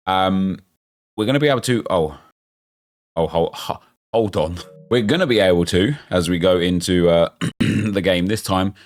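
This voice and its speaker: A man, chatty and excitable, thinking aloud as he opens packs in a football game, losing his voice and getting unwell. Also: fairly low pitch. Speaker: gaming YouTuber. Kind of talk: casual pack-opening commentary.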